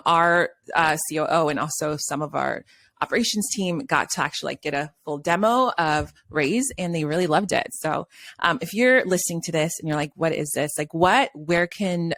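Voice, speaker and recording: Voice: medium pitch, moderate pace, a bit bright. A podcast host from Brazil with a light Brazilian accent, chatting upbeat and gushing with praise in a casual interview.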